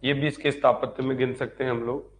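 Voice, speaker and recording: A man's voice: medium pitch, flat delivery, quick pace, slight background noise. A man giving a live online history lecture as a teacher, dictating notes, repeating points and posing check questions to students. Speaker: history teacher for exam preparation.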